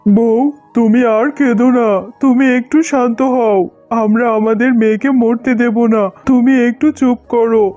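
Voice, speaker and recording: A man's voice: very high voice, steady tone, moderate pace, dark tone, highs cut off, faint music behind. A man voicing a caring, resolute jackal husband and father in a cartoon, speaking earnestly and reassuringly. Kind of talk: cartoon dialogue.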